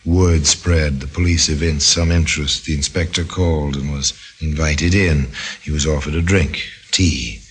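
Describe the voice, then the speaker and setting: A man narrating a scripted mystery radio drama in a deliberate, dramatic storytelling manner. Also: a bass voice, fairly even intonation, slightly rough.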